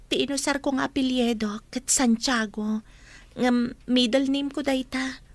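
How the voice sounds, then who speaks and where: An actress playing a gentle, troubled young runaway woman in an Ilocano radio drama. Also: high voice, fairly even intonation, unhurried, no room sound. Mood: anxious, pleading.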